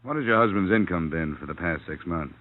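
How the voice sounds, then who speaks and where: A man's voice: low voice, lively intonation, fairly quick, phone-quality sound. A man with an American accent acting a cool, persistent insurance investigator in an old-time radio drama, speaking in a dry, clipped, matter-of-fact way. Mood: probing. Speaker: lead actor narrating a detective series.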